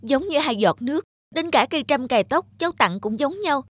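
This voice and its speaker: An actor playing an earnest, devoted young husband in an animated folktale, speaking expressively. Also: male voice, very high-pitched, brisk, no room sound, studio quality. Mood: bewildered, pleading.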